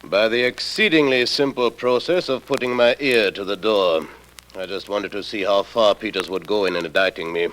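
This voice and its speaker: A haughty, sardonic male stage director played by an actor in 1940s radio style. The voice is deep, the wording precise and supercilious. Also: normal speed, fairly bright tone, natural voice, low-quality recording. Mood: haughty, candid.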